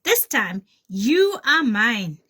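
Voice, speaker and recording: A woman's voice: a little high, big pitch swings, extremely slow, sharp tone, breathy edge, heavily acted. A woman voicing a ferocious male lion villain in a children's story, menacing and gloating.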